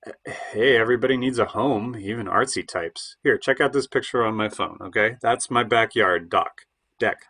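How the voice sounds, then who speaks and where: A man's voice: fairly low pitch, normal speed, sharp tone, breathy edge, heavily acted. A man playing a hesitant, stammering, defensive would-be bohemian in his late thirties in an audio play. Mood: proud, defensive.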